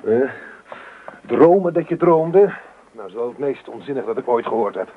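An actor in a Dutch radio play, playing an irritable, practical husband who halts and repeats himself in exasperation. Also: male voice, medium pitch, lively intonation, slight background noise, lightly acted. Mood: weary, exasperated. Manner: exasperated, halting, repeats words. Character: irritable, practical husband weary of his wife. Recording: muffled, tinny band-limited radio recording.

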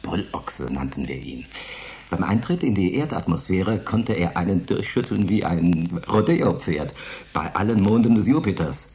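A mocking former space pilot of forty in a sci-fi radio play. His voice sits low and swings widely as he grumbles and declaims at his wife. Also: big pitch swings, moderate pace, a little mellow. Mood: gruff, nostalgic. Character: sardonic forty-year-old retired space pilot, bickering husband. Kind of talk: German science fiction radio play acting.

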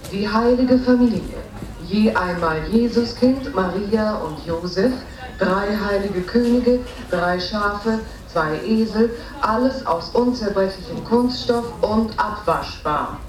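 A woman's voice: mid-range voice, takes it slowly, faint music behind, close to an everyday voice. A woman acting a department store saleswoman in a radio play, reciting Christmas bargains and prices in a promotional announcement. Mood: salesy, promotional. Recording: echoey store loudspeaker, distant.

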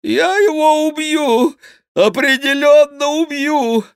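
A man's voice: very high-pitched, expressive, takes it slowly, a bit bright, no echo, studio quality, pitched above the performer's own voice, full character voice. A man voicing a panicky, whining monk in an audiobook, crying out plaintively and full of grievance. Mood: desperate wailing. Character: panicky, whining monk, clumsy rider, aggrieved and fearful. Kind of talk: audiobook character voice.

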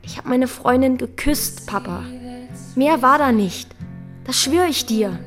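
Teenage girl, high-pitched, searching, candid, defiant, radio drama, soft music underneath. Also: lively intonation, unhurried. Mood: vulnerable, questioning. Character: questioning, defiant teenage daughter. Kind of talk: German radio drama acting.

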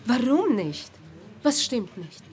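A woman's voice: fairly high pitch, big pitch swings, takes it slowly, darkish tone. An actress playing a pushy married woman pleading with officials, urgent and formally polite yet insistent, in a German radio play. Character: pushy, pleading married female petitioner with documents. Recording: studio radio play with clear background noise.

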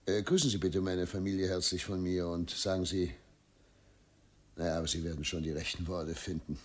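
An actor playing a troubled, middle-aged governor and father in a radio play, dutiful and self-justifying, speaking in a formal, halting way. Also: low-pitched, steady tone, natural voice. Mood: hesitant, instructing. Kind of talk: German radio play acting.

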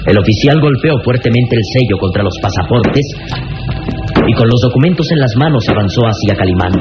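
Male narrator, theatrical scripted storytelling for vintage radio drama; dull recording with clear music behind. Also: medium pitch, expressive, a little fast.

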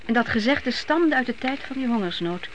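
A woman's voice: on the high side, animated, brisk, close to an everyday voice. An actress playing a devout middle-aged mother of grown sons from biblical times in a radio play, earnest and motherly. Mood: earnest, reflective. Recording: noisy old radio play recording.

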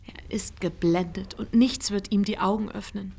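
A lovelorn young woman in a period radio drama. Her voice has a clear creak. She speaks with literary intensity, as if half to herself, principled and quietly anguished. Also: medium pitch, steady tone, normal speed. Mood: bitter, hurt. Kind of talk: German radio play acting.

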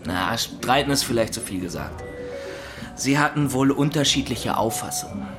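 A man's voice: medium pitch, very animated, moderate pace, over music, close to an everyday voice. A man in a radio drama playing a chatty barman gossiping about his customers. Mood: gossipy. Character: chatty, gossiping male barman talking to police.

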